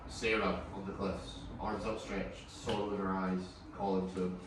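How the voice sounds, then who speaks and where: A man's voice: low-pitched, level tone, normal speed. A man reading stage directions as scripted narration at a table read. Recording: distant echoey room, clear background noise.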